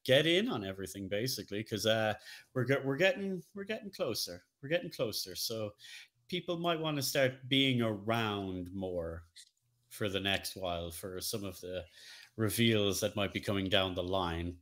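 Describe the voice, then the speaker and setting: A man's voice: lowish voice, animated, moderate pace, a bit bright. A man co-hosting a casual podcast, talking in a chatty, jokey way. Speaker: co-host, streamer.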